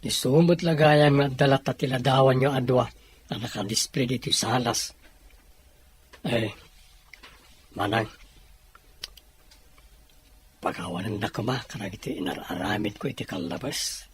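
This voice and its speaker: A man's voice: medium pitch, normal speed, breathy edge. A man playing a remorseful younger brother, a working carpenter, speaking earnestly and pleadingly in an audio drama. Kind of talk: Ilocano audio drama acting.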